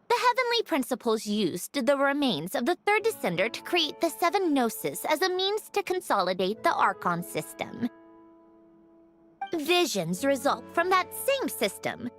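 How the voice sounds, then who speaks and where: A woman's voice: a little high, a bit bright, exaggerated voice. A woman playing a proud, prickly mechanical puppet who explains things precisely and haughtily in a video game cutscene. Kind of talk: scripted game cutscene acting. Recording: music behind the voice. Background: game soundtrack music.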